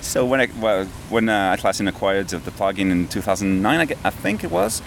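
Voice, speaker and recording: Low-voiced Canadian man outdoors. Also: animated. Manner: halting, with non-native phrasing. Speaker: software developer guest. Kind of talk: casual interview answers. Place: outdoors near a river and traffic. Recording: outdoor recording with clear street noise.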